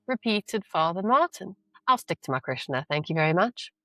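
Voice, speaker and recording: A woman teacher reading a novel aloud with deliberate, emphatic phrasing and brief explanations. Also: lowish voice, big pitch swings, bright, forceful tone, dry recording.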